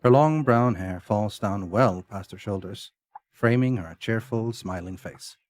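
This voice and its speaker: A man's voice: on the low side, measured pace, no room sound. A man narrating a scripted audio drama as its storyteller. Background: music.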